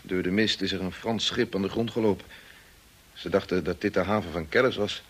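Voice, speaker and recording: A dutiful, grave friend of the family in a radio play. His voice is low and husky, his manner sober and hesitant. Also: fairly even intonation, a little fast, fairly bright tone. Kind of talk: radio drama acting.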